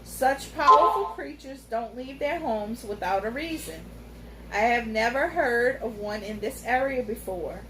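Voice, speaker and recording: A woman voicing an old, wise, fussy talking tomcat who speaks in a measured, lecturing way. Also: on the high side, background noise, close to an everyday voice. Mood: grave. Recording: roomy room, faint ambience.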